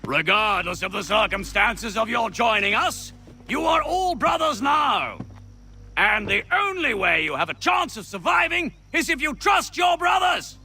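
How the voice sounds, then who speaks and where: An actor playing a stern army officer in a video game, giving a commanding, declamatory military speech to recruits. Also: male voice, very high voice, big pitch swings, unhurried, sharp tone, shouting, soft background music, full character voice. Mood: stern rousing.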